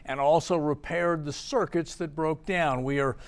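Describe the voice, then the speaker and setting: Investigative TV reporter: American man, sixties, slightly high-pitched, studio broadcast delivery. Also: fairly even intonation, normal speed, smooth. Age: 60s.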